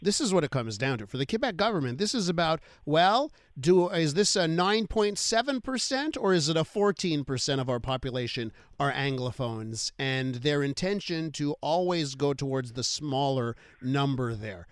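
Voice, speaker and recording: A man's voice: a little high, lively intonation, normal speed, hardly any pauses, no echo, clean studio sound. A man, hosting a radio interview and leading the guest with emphatic questions. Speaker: radio host.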